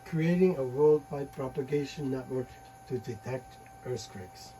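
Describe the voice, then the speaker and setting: A man giving a technical conference talk from his slides, halting often with uh and restarting words. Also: medium pitch, mellow, a little hiss or hum, poor audio. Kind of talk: technical presentation, reading from slides.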